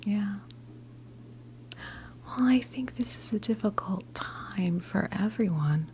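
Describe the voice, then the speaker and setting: An American woman in her fifties voicing a gentle, reassuring witch tarot reader in a breathy ASMR whisper. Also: mid-range voice, expressive, a little hiss or hum. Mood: soothing, reassuring. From New Jersey, United States. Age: 50s. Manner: slow, soothing, hesitant pauses, encouraging. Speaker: tarot card reader in role play. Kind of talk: ASMR whispered role play.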